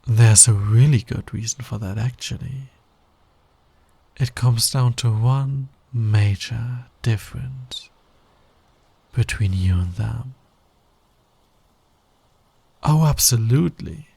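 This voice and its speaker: A man playing a sly, teasing, dominant fantasy villain in an intimate ASMR roleplay, breathy and husky, often near a whisper. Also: mid-range voice, dark tone, dry recording, full character voice. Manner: slow, teasing, deliberate delivery. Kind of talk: intimate ASMR roleplay, romantic audio drama.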